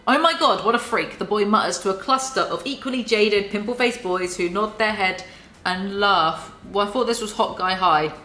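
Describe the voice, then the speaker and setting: A woman reads aloud with mock drama and keeps cutting in with sarcastic asides. Her voice is bright and sharp. The sound carries a noticeable roomy echo. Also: medium pitch, moderate pace. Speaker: YouTuber reading and mocking a book. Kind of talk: dramatic reading with sarcastic commentary.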